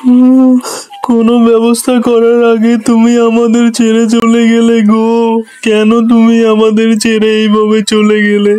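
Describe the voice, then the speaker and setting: A man voicing a gentle, worried widowed village father in a children's cartoon, earnest and soothing. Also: extremely high pitch, completely flat delivery, unhurried, smooth, rough recording. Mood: grieving. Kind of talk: children's moral cartoon acting. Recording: faint music behind the voice.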